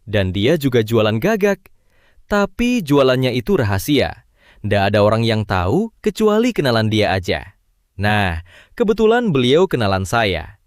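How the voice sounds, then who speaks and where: A cheerful, chatty neighbor, his pitch swinging up and down as he talks. The recording is close and dry. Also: normal speed, no room sound. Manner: chatty, lively, friendly. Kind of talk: animated cartoon voice acting.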